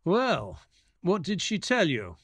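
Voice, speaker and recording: A man playing a detective's loyal assistant who narrates an audio drama in a measured storytelling manner. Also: high-pitched, wildly swooping pitch, slightly rough, no room sound, exaggerated voice. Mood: curious.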